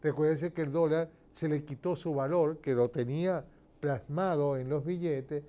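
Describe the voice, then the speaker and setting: A man's voice: a little high, moderate pace, dull audio. A man with a Venezuelan accent giving an informal explanatory lecture, emphatic and didactic, posing rhetorical questions and checking in with listeners. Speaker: political commentator giving a lecture.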